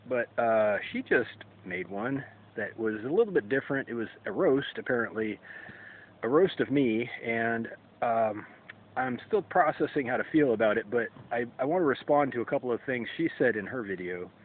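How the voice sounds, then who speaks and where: A man in his forties talking to camera in a solo vlog, halting and hesitant, often restarting and clearing his throat. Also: mid-range voice, lively intonation. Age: 40s. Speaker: YouTube creator. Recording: tinny phone-like sound with faint ambient noise.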